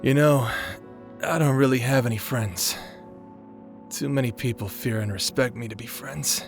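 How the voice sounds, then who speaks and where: A man playing a weary, self-deprecating dying warrior and fond mentor, speaking gently and haltingly in a dramatic audio roleplay. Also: medium pitch, faint music behind, close to an everyday voice. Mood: fond, wistful.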